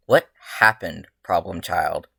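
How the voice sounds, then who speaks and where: A narrator voicing a long-suffering male hero teacher, speaking quietly in a low deadpan. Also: takes it slowly, vocal fry, husky, dry recording, studio quality, full character voice. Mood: quietly concerned.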